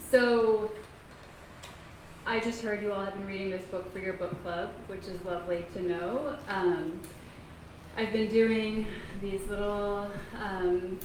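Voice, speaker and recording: Woman in her 30s from kentucky, quiet halting talk w lots of ums, big echoey library room. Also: mid-range voice. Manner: soft-spoken, halting, frequent ums. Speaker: author giving a library talk. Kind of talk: casual talk to an audience. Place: public library meeting room. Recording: echoey room, faint noise.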